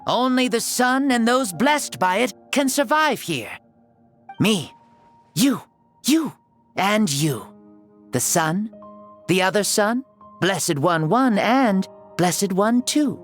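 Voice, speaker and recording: A woman's voice: medium pitch, animated, slow pace, long pauses. A woman voicing a proud, battle-eager ancient warrior god in a child's body, speaking in a formal, declamatory way for a video game. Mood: grand. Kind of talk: dramatic video game voice acting. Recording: background music.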